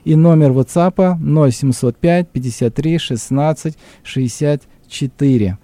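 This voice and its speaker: A man hosting a live radio talk show with a formal, measured announcer delivery, speaking into a studio microphone. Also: on the high side, dark tone, no echo. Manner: measured, announcer-like reading of numbers.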